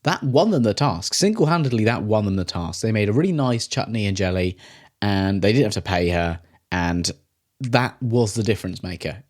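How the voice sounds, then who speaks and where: A man's voice: lowish voice, animated, moderate pace. A man hosting a reaction video, making wry, sarcastic and sweary comments.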